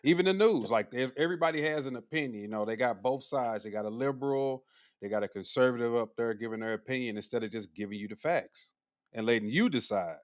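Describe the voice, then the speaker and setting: A man of about forty hosting a casual livestream chat, relaxed and slangy, with lots of "mm-hmm" and easy laughs. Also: mid-range voice, fast, no echo, studio quality. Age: 40s. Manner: laid-back slang, frequent "mm-hmm" and laughs. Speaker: livestream host.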